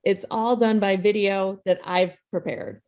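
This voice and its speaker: A woman's voice: mid-range voice, fairly even intonation, measured pace, a little mellow. Female host for video-call show introduction; informal, jokey, self-effacing delivery. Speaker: playwright and host of an online table read.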